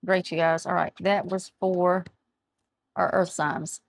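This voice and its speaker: A middle-aged woman, chatting through a tarot reading and reading aloud from a card book, her voice going hoarse as she often loses her words. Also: on the low side, flat delivery, slow, no echo. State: losing her voice. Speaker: tarot reader. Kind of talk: casual tarot reading to camera. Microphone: camera mic, speaking to camera.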